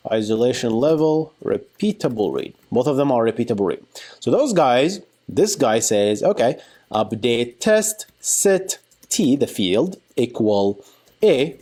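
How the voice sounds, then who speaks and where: A man giving an informal software tutorial, talking through a live demo in a playful, colloquial way and thinking aloud. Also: medium pitch, very animated, measured pace. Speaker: software engineering instructor.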